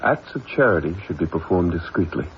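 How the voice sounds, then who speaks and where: An actor playing a haughty, guarded rich gentleman in an old-time radio mystery, formal, curt and condescending. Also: low-pitched, animated, fairly quick. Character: haughty, guarded wealthy gentleman, evasive suspect. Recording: dull, noisy old radio recording.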